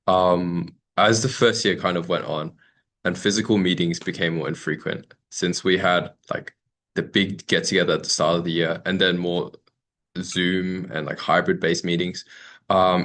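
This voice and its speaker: Young aussie guy, low voice, talking thru his reflections at a meeting, pretty informal. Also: fairly bright tone. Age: young adult. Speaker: youth committee member presenting. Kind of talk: informal presentation to an online meeting.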